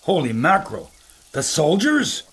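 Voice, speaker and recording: A man's voice: a little high, wildly swooping pitch, a little slow, fairly bright tone, breathy edge, exaggerated voice. An actor playing a brash male adventure photographer who throws out slangy wisecracks. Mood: eager. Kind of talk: audio drama acting.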